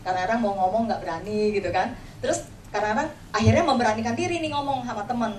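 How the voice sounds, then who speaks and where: A woman's voice: fairly high pitch, quick pace, a little mellow. A woman with a Jakarta Indonesian accent gives an informal motivational talk as an agency leader, telling personal anecdotes conversationally and often checking for agreement. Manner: conversational, acts out quoted dialogue, frequent tag words seeking agreement. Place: indoor room before an audience. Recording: echoey room, distant mic, noisy background.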